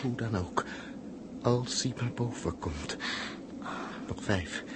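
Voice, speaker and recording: An actor playing an awed, anxious comrade in a 1960s radio drama, solemn and emphatic. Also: male voice, mid-range voice, slow pace, lightly acted. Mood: awed, urgent. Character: awed, anxious male comrade watching a desperate struggle. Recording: very noisy 1960s radio recording.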